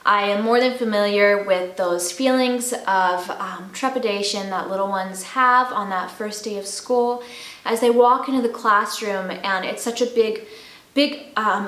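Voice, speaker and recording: A woman's voice: mid-range voice, a bit bright, roomy sound. A woman speaking as a storybook reader for kindergarten children. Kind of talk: children's story read-aloud with personal introduction.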